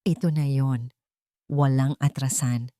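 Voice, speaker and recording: Woman storyteller doing scripted romance drama, lowish voice, dry sound no room. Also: steady tone, slow, mellow, dry recording. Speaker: storyteller, narrator.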